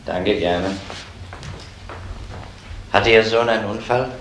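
A courteous police detective with a fairly low voice, methodical and probing. It is crime radio drama, with faint noise on an old recording. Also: male voice, slow, a bit bright, poor audio. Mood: calm, businesslike. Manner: methodical, polite questioning. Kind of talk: German crime radio play acting.

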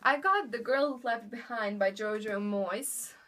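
Chatty young female book vlogger, Bosnian accent, slightly high voice, filler-heavy casual talk to camera at home. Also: a little slow, very noisy. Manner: chatty, upbeat, many fillers and "really". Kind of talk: casual chat. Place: indoor room in front of bookshelves. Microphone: camera in front of her.

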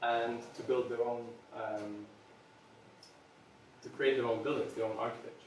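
A man's voice: mid-range voice, steady tone, moderate pace, a little mellow, a little hiss or hum. Man in his thirties from Serbia, earnest presentation, frequent ums, room echo, heard from afar. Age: 30s. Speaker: product designer giving a talk. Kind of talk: public presentation. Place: small meeting room with audience. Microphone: far camera. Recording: very echoey room from far off.

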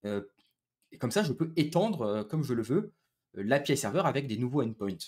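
A man's voice: medium pitch, sing-song, a little fast, a little mellow. A man gives an informal technical presentation over a video call on a headset, explaining step by step with frequent hesitations. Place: small room indoors, video call.

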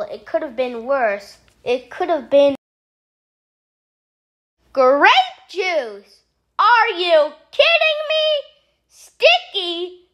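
A woman's voice: high voice, fairly bright tone, exaggerated voice. A woman voicing a fussy, tidy frog who is dramatic and easily horrified, in a theatrical children's storybook read-aloud. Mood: relieved, fussy, then exasperated. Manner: theatrical, exclaiming.

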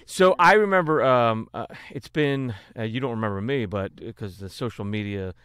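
A man's voice: mid-range voice, normal speed, fairly bright tone, clear, resonant tone, dry recording, studio quality. A man hosting a podcast on a video call, asking questions in a rambling, jokey way with many restarts. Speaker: podcast host. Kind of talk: casual interview chat on a video call. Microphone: desk microphone on a video call.

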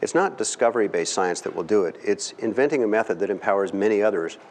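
Middle-aged male lecturer, low voice, earnest and emphatic, long hall reverb. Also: a little hiss or hum. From California. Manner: earnest, emphatic, with pauses and fillers. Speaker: guest speaker. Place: large dim lecture hall. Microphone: far camera.

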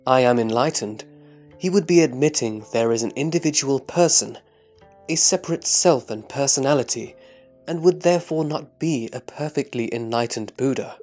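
Man acting humble male disciple, reverent, deliberate, formal audio drama delivery, faint music behind. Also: mid-range voice, darkish tone, close to an everyday voice. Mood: reverent, earnest. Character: Subhuti, earnest humble male disciple of the Buddha. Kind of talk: scripted audio drama of a scripture.

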